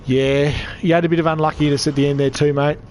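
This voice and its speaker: An upbeat man runs through the race results, his voice croaky and slightly higher than most. He chats easily with his audience. There is a faint noise under the recording. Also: level tone, fast, darkish tone. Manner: upbeat, chatty, reads out chat. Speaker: race commentator. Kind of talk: live sim racing commentary.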